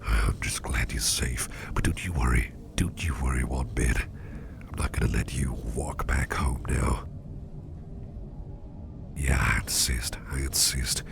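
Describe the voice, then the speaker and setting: A man's voice: rumbling low, fairly even intonation, a little slow, gravelly, heavily acted. A man playing a caring, protective businessman boss in an intimate ASMR roleplay, whispering breathily and soothingly, often repeating his reassurances. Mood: soothing, concerned. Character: caring, protective male boss, gentlemanly businessman, soft-spoken and doting.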